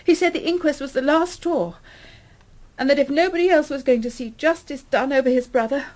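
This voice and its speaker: An actress in a radio drama playing a shaken, distraught wife who speaks haltingly, stammering and apologetic. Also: female voice, high voice, darkish tone, close to an everyday voice. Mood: distressed. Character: shaken, distraught wife recounting a violent death.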